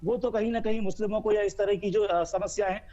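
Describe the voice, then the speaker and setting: A man reports live as a bureau chief. His voice sits high but has a soft, dark tone. He speaks emphatically and wordily, repeating phrases as he builds his point, and the link sounds dull and muffled. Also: fairly even intonation, brisk. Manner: emphatic, wordy, repetitive phrasing. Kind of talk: unscripted live news reporting.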